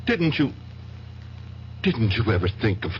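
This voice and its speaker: A man's voice: mid-range voice, sing-song, a little slow. An actor in an old-time radio drama playing an earnest doctor, hesitant and incredulous as he questions his patient. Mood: shocked. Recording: muffled, hissy old radio recording.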